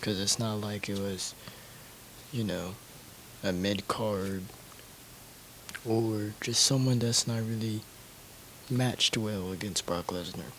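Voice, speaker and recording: Man, low and very slow, laid-back casual discussion, faint hiss. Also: fairly even intonation, a bit bright, low-quality recording.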